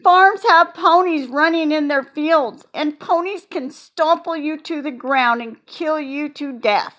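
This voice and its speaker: A woman reading an audiobook and voicing a spunky, bossy kindergarten girl with shrill, excitable exclamations. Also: measured pace. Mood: insistent. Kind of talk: children's audiobook character voice.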